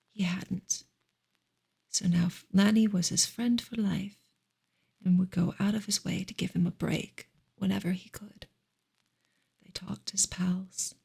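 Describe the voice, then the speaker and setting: A woman reading aloud in a soft, breathy, whispery ASMR style, slow and deliberate. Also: mid-range voice.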